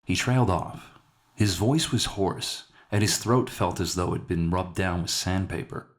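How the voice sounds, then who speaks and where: A man's voice: low voice, normal speed. A man reading a scripted dramatic short story aloud as its narrator. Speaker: author narrating his own story.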